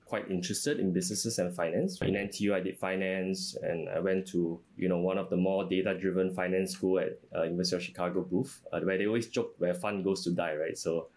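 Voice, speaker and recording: Low-voiced man, measured. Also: fairly even intonation, darkish tone. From Singapore. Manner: measured, with frequent fillers like "you know" and "uh". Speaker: company CFO, guest. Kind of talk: interview answers.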